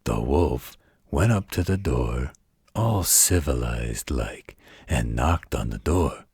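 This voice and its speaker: A man voicing a gruff, protective werewolf with a deep, gravelly, husky voice, telling a bedtime story in an intimate, folksy, gently wry way. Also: animated, slow pace, no echo, studio quality, heavily acted. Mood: folksy storytelling.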